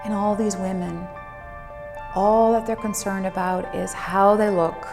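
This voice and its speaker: A woman in her forties shares a reflective personal story. Her voice has a noticeable fry and she speaks without hurry, leaning on key words. It rings with long reverb, and music plays clearly underneath. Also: medium pitch, fairly even intonation, unhurried. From Netherlands. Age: 40s. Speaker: fitness instructor and speaker.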